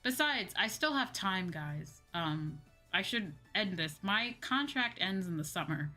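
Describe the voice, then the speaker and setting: A woman's voice: medium pitch, expressive, a little slow, pushed and bright. A woman with an American accent chatting casually on a livestream, informally answering viewer comments and repeating phrases for emphasis. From Midwestern United States. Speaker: VTuber livestreamer. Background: music.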